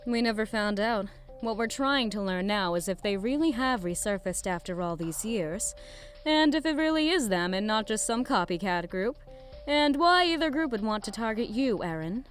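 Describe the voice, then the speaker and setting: A woman playing an eccentric, curious lab scientist in an audio drama, musing and rambling in an excitable way. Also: mid-range voice, moderate pace, soft background music, natural voice. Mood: explaining, pensive. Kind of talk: scripted audio drama acting.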